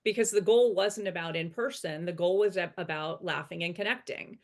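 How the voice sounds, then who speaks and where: Low-voiced woman coach explaining steadily. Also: normal speed. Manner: explains steadily, often checking in with "right?". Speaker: executive coach, guest. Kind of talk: casual webinar conversation.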